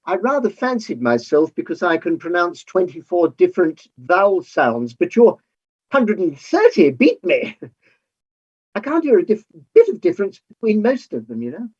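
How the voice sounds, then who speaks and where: An actor as a husky, high-pitched polite gentleman. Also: very animated, moderate pace, exaggerated voice. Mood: weary, modest. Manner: courteous, old-fashioned, mildly flustered. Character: polite, amazed gentleman scholar, weary and modest. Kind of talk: staged reading of a classic play. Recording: dull, muffled streamed audio.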